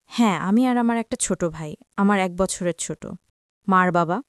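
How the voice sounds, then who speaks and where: A woman's voice: mid-range voice, normal speed, no room sound, clean studio sound. A woman of twenty-three with a Bangladeshi Bengali accent, telling her personal life story as an interview guest.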